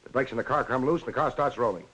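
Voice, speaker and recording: An actor playing a blunt, hard-boiled police lieutenant in a 1940s film noir, with an American accent and a clipped, pressing way of talking. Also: male voice, mid-range voice, very fast, a bit bright, husky. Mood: pressing, accusing. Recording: noisy 1940s film soundtrack.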